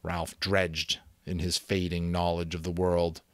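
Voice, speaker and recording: A man reading an audiobook as a dramatic, expressive storyteller. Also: sits low, unhurried, no room sound. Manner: expressive, theatrical. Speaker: audiobook reader.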